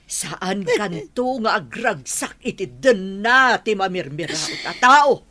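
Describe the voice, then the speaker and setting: A woman acting a stern, snobbish middle-aged mother in a radio drama, with an Ilocano Filipino accent and a husky voice. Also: mid-range voice, animated, slow pace, bright, forceful tone. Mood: scolding.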